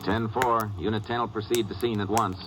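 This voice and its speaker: An actor playing a calm, terse Texas Ranger in a radio crime drama, narrating and investigating in a matter-of-fact way. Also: male voice, on the low side, brisk, lightly acted. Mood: calm, businesslike. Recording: noisy old radio drama recording.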